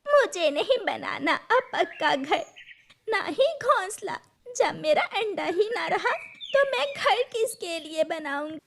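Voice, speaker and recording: Cartoon mother bird, played by a woman: very high, fretful, plaintive, reproachful. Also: lively intonation, slow, a bit bright, heavily acted. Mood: tearful, reproachful. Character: anxious, scolding mother sparrow grieving her lost egg. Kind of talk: Urdu cartoon voice acting.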